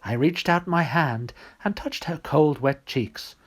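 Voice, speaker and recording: A man's voice: a little high, a little slow, pitched above the performer's own voice. A man voicing a wily, boastful trickster fox god, telling his own story smugly in a storybook reading. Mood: smug recounting. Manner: smug storytelling.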